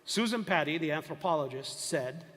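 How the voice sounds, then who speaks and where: A man's voice: on the high side, animated, moderate pace, a bit bright. An older man giving a university lecture, discursive and emphatic, often asking rhetorical questions. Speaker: professor lecturing. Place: lecture hall. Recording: long hall reverb.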